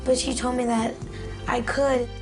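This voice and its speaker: A nervous teenage girl auditioning for a musical, still recovering from being sick, who says she has low confidence. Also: little pitch movement, unhurried, loud music behind the voice. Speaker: student auditioning.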